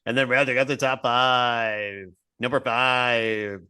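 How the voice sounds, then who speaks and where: A man's voice: medium pitch, little pitch movement, slow, pushed and bright, smooth. A man co-hosting a podcast, joking wryly in the style of a mock sports report. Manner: wry, joking. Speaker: podcast co-host.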